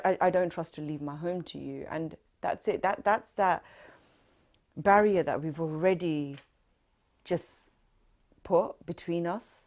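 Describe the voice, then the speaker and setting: Woman, 30s, London accent, low voice, earnest interviewee in an oral history interview. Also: darkish tone. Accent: London English. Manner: reflective, earnest, with many fillers.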